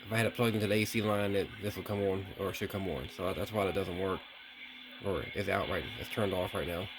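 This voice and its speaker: A man with a fairly low voice talks casually through a hands-on test. He sounds unscripted and a little hesitant, working things out aloud. There is light noise in the recording. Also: fairly even intonation, fast. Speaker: vlogger testing vintage CB radios. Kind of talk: casual hands-on demonstration commentary.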